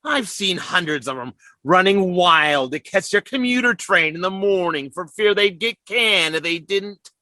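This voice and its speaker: A ragged survivor of the militia, bright and sharp-toned, his voice swinging up and down as he rambles and stammers through his plan. in a radio play, recorded close and dry with no room sound. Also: high voice, animated, measured pace, few pauses, no room sound, exaggerated voice. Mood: scheming. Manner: rambling, stammering, scheming bravado. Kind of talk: radio drama acting.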